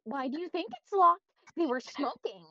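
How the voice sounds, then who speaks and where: A frantic ragdoll woman from a cartoon voiced by a woman, high in pitch and very animated. She rambles theatrically with brittle cheer. The sound is close and dry. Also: moderate pace, dry recording, muffled recording. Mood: nervously breezy. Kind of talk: cartoon fandub acting.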